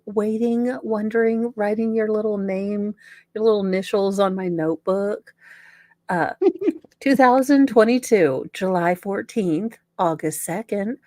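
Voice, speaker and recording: A woman's voice: medium pitch, darkish tone, no echo, studio quality. A woman hosting a comedic dramatic reading, sarcastic and theatrical, breaking in with mocking, casually sweary asides. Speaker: podcast host.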